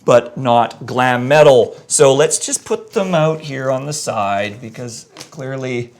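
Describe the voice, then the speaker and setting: Gravelly-voiced male live-stream host. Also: mid-range voice, slow. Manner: energetic, joking, reads comments aloud. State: voice going a bit hoarse. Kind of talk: live-stream debate, reading viewer comments.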